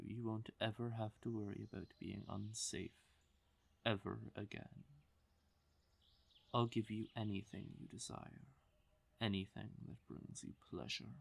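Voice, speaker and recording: A man voicing a smug, possessive fae king in an intimate roleplay audio drama, teasing and commanding with silky menace and drawn-out pauses. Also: lowish voice, creaky, slightly rough, exaggerated voice. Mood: smugly soothing.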